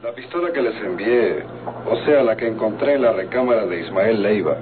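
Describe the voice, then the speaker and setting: A man's voice: lowish voice, lively intonation, normal speed, crowd noise, natural voice. Man as formal Mexican lawyer, very tinny recording. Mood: grave, urgent. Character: official lawyer delivering grave news. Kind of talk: golden-age Mexican radio drama acting. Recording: tinny old radio, busy background.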